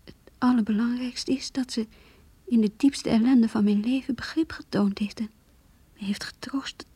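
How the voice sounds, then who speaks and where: An actress playing a heartbroken young woman who confides her lost love in an intimate, halting radio play monologue, breathy and hushed. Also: fairly high pitch, steady tone, no echo. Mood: wistful.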